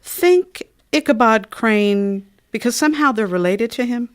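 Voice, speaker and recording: A woman's voice: on the high side, a little slow, natural voice. A woman playing a chatty river-town musician in scripted radio theatre. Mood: chatty, candid. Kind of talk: scripted radio play dialogue.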